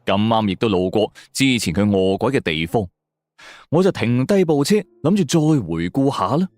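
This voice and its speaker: A man narrating a scripted suspense story in a dramatic way. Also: on the low side. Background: background music.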